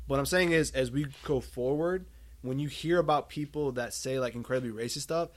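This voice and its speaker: A man's voice: medium pitch, fairly quick, no room sound. An American man in a casual podcast discussion, rambling and emphatic, peppering his opinions with "you know" and "like". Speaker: podcast speaker.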